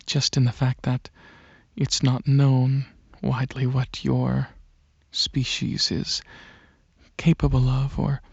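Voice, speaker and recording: A soft, gravelly dragon alien prince played by a man speaks in an ASMR roleplay, slowly and hesitantly. His delivery is gentle and coaxing, with long pauses. The close recording is dry and slightly muffled. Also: medium pitch, monotone, no echo, full character voice. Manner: hesitant, unhurried, soothing, with frequent pauses. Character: gentle dragon alien prince, centuries-old royal researcher, softly coaxing. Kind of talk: ASMR roleplay, soft-spoken monologue to the listener.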